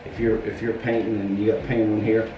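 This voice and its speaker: A man's voice: lowish voice, little pitch movement, fairly quick, muffled recording, background noise. A man giving casual hands-on painting lessons in an empty house, explaining patiently with everyday comparisons. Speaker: painter teaching how to paint doors. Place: empty carpeted room in a house. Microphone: camera a few feet away. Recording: echoey room heard from a distance.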